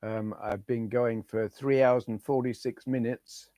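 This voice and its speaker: A middle-aged man with a hoarse voice, chatting casually to viewers on a retro gaming live stream and reading out their names. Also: medium pitch, steady tone, unhurried, warm tone, no room sound, clean studio sound. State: hoarse, losing his voice.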